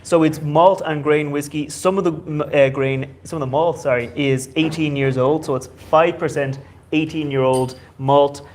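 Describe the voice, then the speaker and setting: Man, tasting host, chatty, slightly high voice, faint room noise. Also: fairly even intonation, moderate pace, darkish tone. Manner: chatty, loose, full of fillers. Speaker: whiskey society host. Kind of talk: hosting a live whiskey tasting.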